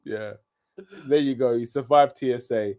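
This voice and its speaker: A man's voice: medium pitch, normal speed, warm tone. A man hosting a casual live stream and reading viewer comments aloud. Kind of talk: casual chat reviewing a reality show.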